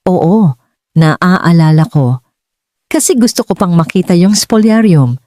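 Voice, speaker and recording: A guarded Filipino college student voiced by a woman narrator in an audiobook drama. He sounds subdued and mellow, with some expressive swing in pitch. The recording is close and dry. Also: a little slow, dry recording. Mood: softening, wistful. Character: guarded, listless college-age son, slowly softening. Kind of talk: audiobook dialogue.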